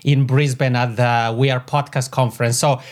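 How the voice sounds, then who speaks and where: A man's voice: medium pitch, little pitch movement, moderate pace. A man in his thirties from the Italian-speaking part of Switzerland, with a Swiss Italian accent, answering as a podcast guest in an eager, expansive way from his home office on a podcasting microphone. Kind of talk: conversational interview answers.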